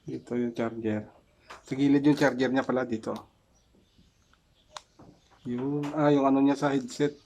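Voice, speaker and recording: A man's voice: mid-range voice, level tone, measured pace, pauses often, dark tone, lo-fi sound, a little hiss or hum. A man with a Filipino accent casually talking through an unboxing in short, unscripted fragments. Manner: unscripted, talks in short fragments while handling items. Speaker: product unboxer.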